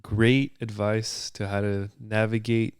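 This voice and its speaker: A man in casual podcast chat, relaxed, mostly backing up his co-host with short agreeing words before wrapping up. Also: lowish voice, takes it slowly, clean tone, dry recording, studio quality. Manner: relaxed, brief agreeing interjections.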